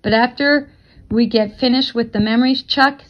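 Woman doing a grumbly blunt old grandpa in a story reading, voice kinda high. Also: steady tone, moderate pace, dull audio, close to an everyday voice. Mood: gruff.

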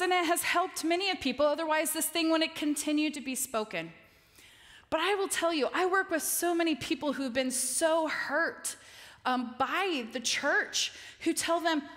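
Woman in her 40s giving a chapel talk, higher voice, lots of emphasis, hall reverb. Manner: animated, emphatic, talks with her hands. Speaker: chapel speaker. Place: large stage in a dark auditorium. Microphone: headset mic. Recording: long reverb of a large hall.

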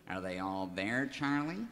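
An actor playing a sinister, sarcastic villain boss in a parody of an old radio western serial. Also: male voice, lowish voice, full character voice. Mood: gloating. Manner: sardonic, slow and deliberate.

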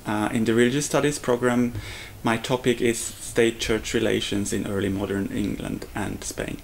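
A man's voice: on the low side, level tone, normal speed, gravelly, a little hiss or hum. A young man from Hungary, a doctoral student, explaining thoughtfully on camera. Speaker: PhD student.

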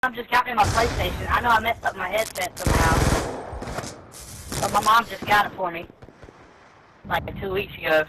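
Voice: medium pitch, steady tone, moderate pace, pauses often, noisy background. A person voicing a crude, bragging little kid through a voice changer on game voice chat. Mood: cheeky. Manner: juvenile, boastful, crude. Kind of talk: casual game voice chat, trash talk. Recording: game voice chat with gunfire behind.

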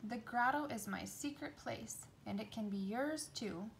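A woman's voice: on the high side, a little slow, vocal fry, slight background noise, poor audio. A woman voicing a cheerful, adventurous young mermaid in a storybook, eager and encouraging.

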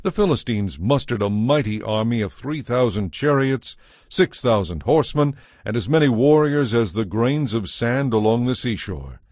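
A man's voice: mid-range voice, expressive, moderate pace, darkish tone, no room sound, studio quality. A man narrating a dramatized scripture audiobook in a scripted, storytelling style.

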